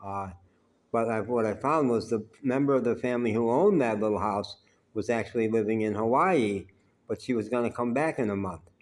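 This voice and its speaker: Low-voiced man telling rambling stories. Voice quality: naturally loud voice. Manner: unhurried and conversational, with frequent "uh" pauses. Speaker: vlogger telling life stories. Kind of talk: rambling personal storytelling.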